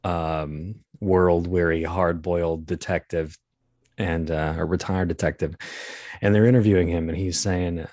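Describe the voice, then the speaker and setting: A man in his early thirties telling anecdotes as an interview guest, in a halting, wry, self-deprecating way. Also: low-pitched, steady tone, a little mellow, no room sound, clean studio sound. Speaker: guest, voice actor and comedian. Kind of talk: casual interview, anecdotal storytelling.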